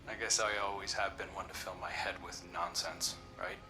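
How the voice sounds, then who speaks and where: A man's voice: sits low, poor audio. A man playing an earnest, hopeful young prince and devoted brother in a video game, speaking with heartfelt intensity. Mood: wry, fond. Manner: heartfelt, intense, breathy at times. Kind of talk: dramatic game dialogue.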